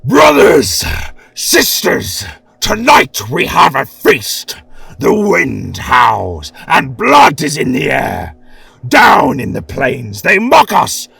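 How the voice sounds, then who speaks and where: A man's voice: on the high side, huge pitch swings, takes it slowly, vocal fry, breathy edge, no echo, far from the mic, faint music behind, voice pushed up, exaggerated voice. A man voicing a savage, bloodthirsty barbarian warlord who roars a war chant. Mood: roaring war chant. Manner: roaring, shouting war chant.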